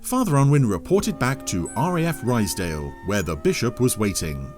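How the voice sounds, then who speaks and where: Animated male storyteller over music. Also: medium pitch, moderate pace. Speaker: narrator. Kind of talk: scripted audio story narration.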